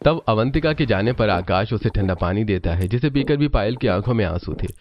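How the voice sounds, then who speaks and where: A man's voice: lowish voice, expressive, a little fast, no room sound, highs cut off. A man narrating a scripted family cartoon story.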